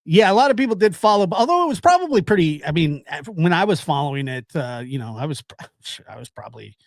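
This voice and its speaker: Halting man hosting casually, dry sound. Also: fairly high pitch, very animated, no room sound. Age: late 30s to early 40s. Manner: halting, circling back over phrases. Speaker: live-stream host. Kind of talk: casual chat.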